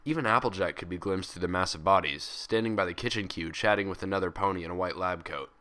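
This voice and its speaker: Male audiobook-style narrator, low pitch, sharp bright tone, expressive scripted delivery. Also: fast talker. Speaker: fan fiction reader.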